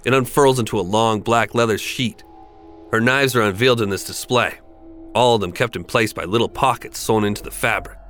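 A man narrating a dark scripted horror story in a measured, deliberate, dramatic way over music. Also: fairly low pitch. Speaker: podcast narrator. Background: background music.

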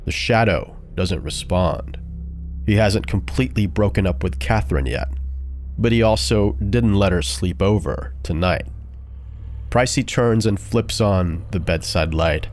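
Slow, low-voiced man telling horror stories. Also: slight background noise. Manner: measured, deliberate, dramatic. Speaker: podcast narrator. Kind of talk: dark scripted horror storytelling. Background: background music.

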